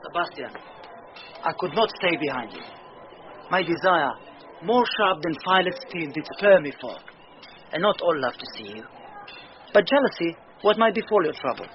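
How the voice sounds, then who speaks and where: High, expressive, earnest sea captain. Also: male voice. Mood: devoted concern. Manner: earnest, measured verse delivery. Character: Antonio, devoted, anxious, earnest sea captain. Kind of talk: classic BBC radio Shakespeare acting. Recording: dull, faintly noisy old radio broadcast.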